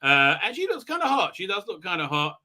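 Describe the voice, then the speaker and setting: A man hosting a fan review livestream, giving a rambling, halting, sarcastic recap with repeated words and exasperated outbursts. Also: sits high, wildly swooping pitch, pushed and bright. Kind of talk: casual mocking episode recap.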